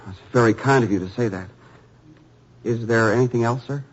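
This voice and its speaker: A man voicing an eager young author with a husky, breathy voice that stumbles and repeats words in his earnestness. He sounds humble and grateful. The recording is dull and lo-fi, like an old radio show. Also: mid-range voice, fairly quick, lightly acted. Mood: earnest. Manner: earnest, stammering with nervous eagerness. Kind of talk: 1950s radio drama acting.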